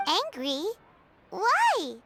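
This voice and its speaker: Squeaky, tiny childlike fairy companion. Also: female voice, huge pitch swings, extremely slow, noisy background, exaggerated voice. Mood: surprised, worried. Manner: squeaky, wide-eyed, exclamatory. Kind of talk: scripted video game voice acting.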